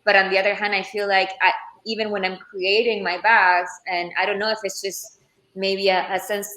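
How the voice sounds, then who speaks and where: A young woman from Ecuador with a bright, clear voice talks about her craft. She pauses and restarts as she hunts for the right word. The sound is echoey, as if she is on a video call from a room. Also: medium pitch, little pitch movement. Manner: thoughtful, searching for words, many restarts. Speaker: leather craftswoman and entrepreneur. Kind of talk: interview answers. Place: Florence, Italy.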